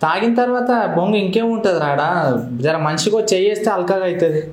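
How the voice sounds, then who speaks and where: A man's voice: sits high, fast talker, reverberant, crowd noise. A man with a Telangana Telugu accent chatting casually in slang, cajoling and teasing in a coarse way. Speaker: prank caller. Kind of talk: casual slangy phone banter.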